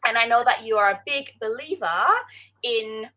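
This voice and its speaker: Bright chatty woman hosting a video call. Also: on the high side, normal speed, poor audio. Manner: chatty, upbeat, many "um" and "like" fillers. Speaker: host. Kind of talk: casual interview over video call.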